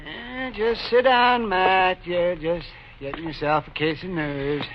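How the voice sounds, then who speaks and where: Teasing greedy western doc, folksy, highish voice, old muffled radio show. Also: male voice, fairly even intonation, a little hiss or hum. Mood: wry, teasing. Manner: folksy, drawn-out, chuckling asides. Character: wry, mercenary frontier doctor, easygoing and teasing. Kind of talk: 1950s radio western acting.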